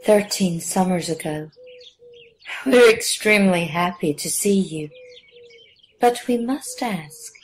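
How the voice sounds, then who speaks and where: Slow, stately woman acting a village priestess. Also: on the low side, expressive, a little hiss or hum. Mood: solemn. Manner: formal, measured, stately speech. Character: courteous alien village priestess and leader, gracious, solemn. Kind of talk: audio drama acting.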